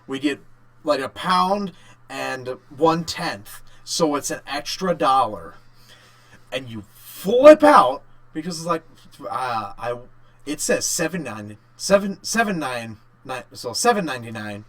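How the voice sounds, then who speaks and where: Male podcast co-host, average pitch, informal and halting, explains things in short conversational bursts. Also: sing-song, fairly bright tone. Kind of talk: casual chat about games and work.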